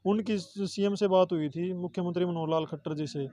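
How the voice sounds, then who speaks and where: A man's voice: high-pitched, steady tone, a little fast, warm tone, no echo. A man with a Haryanvi accent giving spontaneous answers as an interviewee. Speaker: interviewee, relative of the person discussed.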